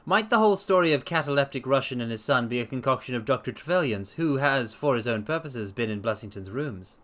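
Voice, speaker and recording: Man voicing an earnest storybook sidekick, gentleman, inquiring, measured, expressive intonation. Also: medium pitch, lightly acted. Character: earnest gentleman doctor, the detective's questioning companion. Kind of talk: dramatic storybook reading.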